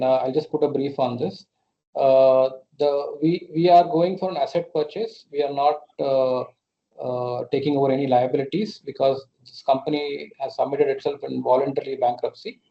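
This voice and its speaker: A man's voice: mid-range voice, gravelly. A man with an Indian accent answering questions as a company executive on an earnings call, hesitant and often repeating words as he explains. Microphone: conference call connection. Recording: muffled, band-limited conference call audio.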